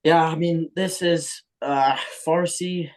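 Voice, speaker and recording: A young man, a professional footballer, answering interview questions in a relaxed, casual way with frequent ums. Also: fairly high pitch, takes it slowly.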